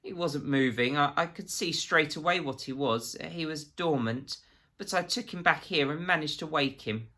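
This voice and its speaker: A man's voice: a little high, moderate pace, bright, forceful tone, pitched above the performer's own voice. A man voicing a cowardly, guilty man who stammers, pleads and snivels through a story. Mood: abject snivelling.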